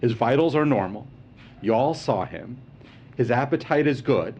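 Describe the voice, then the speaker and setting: A man's voice: medium pitch, moderate pace, dull audio. A man answering reporters' questions at a press briefing, explaining in a reassuring way and stressing key points with energy. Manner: reassuring, explanatory, animated emphasis. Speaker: medical official at briefing.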